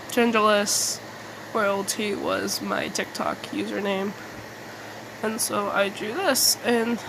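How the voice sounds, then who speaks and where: A woman talks through a casual, rambling vlog at an unhurried pace. Her mid-pitched voice often drops into creaky fry, and she speaks haltingly, putting herself down with some mild swearing. A faint hum of room noise sits behind her. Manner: halting, self-deprecating, mildly sweary. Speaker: artist making videos.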